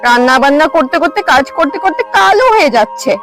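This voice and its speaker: A woman's voice: high voice, animated, quick pace, fairly bright tone, faint music behind. A woman playing a vain, lazy young village wife in a cartoon, boastful, complaining and quarrelsome. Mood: defiant, boastful. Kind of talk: cartoon voice acting.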